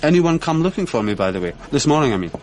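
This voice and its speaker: An actor playing a mild, self-deprecating young academic with a British accent in a radio drama, diffident and drily understated. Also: male voice, a little high, sing-song, fast talker, a little hiss or hum. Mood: tentative.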